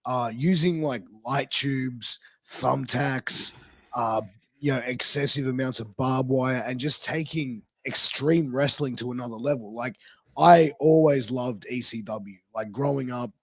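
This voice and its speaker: A man with an Australian accent co-hosting a podcast. His voice is hoarse and raspy, shot from ring announcing, and he talks earnestly and tells stories. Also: mid-range voice, unhurried, a bit bright, no echo. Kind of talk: casual podcast chat.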